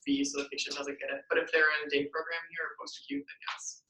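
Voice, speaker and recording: A man's voice: on the high side, level tone, bright, forceful tone, distant mic. A man who works as an occupational therapist, chatting casually in a group discussion with lots of "like"s. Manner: fast, filler-heavy talk with many "like"s. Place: room at an in-person journal club. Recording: roomy sound, away from the mic.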